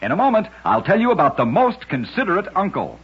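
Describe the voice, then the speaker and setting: A man's voice: high-pitched, wildly swooping pitch, fast talker, slightly rough. A man reading scripted short stories for a radio show with an emphatic, dramatic storytelling delivery. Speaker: radio narrator of oddity stories. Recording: dull lo-fi old radio recording.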